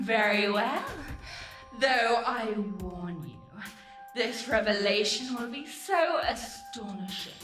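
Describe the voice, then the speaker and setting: Haughty succubus, roomy and distant. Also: female voice, fairly high pitch. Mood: grandly ominous. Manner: sardonic, florid, condescending, swears freely. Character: haughty, seductive female succubus demon, sardonic and theatrical. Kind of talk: video game character acting.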